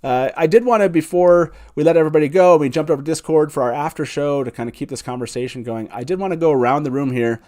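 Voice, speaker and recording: A man's voice: a little high, fast talker, few pauses, dark tone. A man hosting a fan show in casual live chat, informal and self-deprecating as he talks. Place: online group video call, live stream.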